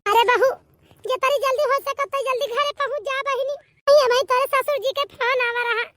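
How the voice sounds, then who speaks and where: A woman's voice: extremely high pitch, steady tone, fairly quick, sharp tone, no room sound, clean studio sound, far from the mic. A woman voicing a panicked village mother-in-law with an Awadhi accent in a melodramatic cartoon, urgent and wailing. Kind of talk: melodramatic cartoon comedy voice acting.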